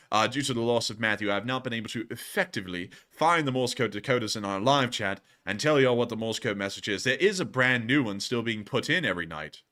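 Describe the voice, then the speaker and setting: Bright-voiced man with a put-on British accent. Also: fairly low pitch. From United States. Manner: wordy, emphatic, formal flourishes. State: fatigued voice. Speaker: livestream host of a news channel. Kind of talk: livestream answering viewer questions.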